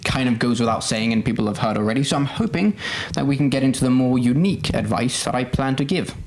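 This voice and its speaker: A man's voice: medium pitch, quick pace. A young man giving earnest, explanatory advice in a conversational student podcast style. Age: teens or young adult.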